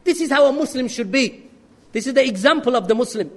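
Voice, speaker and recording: A man preaching an earnest sermon with rhetorical questions and quick Arabic recitation. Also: extremely high pitch, expressive, long reverb tail. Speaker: Islamic preacher.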